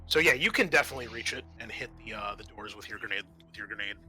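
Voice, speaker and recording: A man's voice: on the low side, animated, fast, sharp tone, soft background music. A man narrating a tabletop roleplaying game as game master in a hesitant, conversational way.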